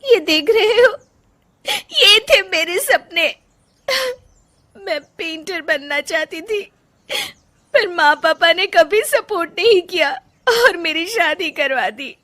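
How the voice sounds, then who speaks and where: A woman performs an unhappy young wife from a cartoon moral tale. Her voice is extremely high and bright, and she takes her words slowly. The delivery is plaintive and emotional, that of someone whose dreams were crushed. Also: expressive, exaggerated voice. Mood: tearful, despairing. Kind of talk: animated moral story dialogue.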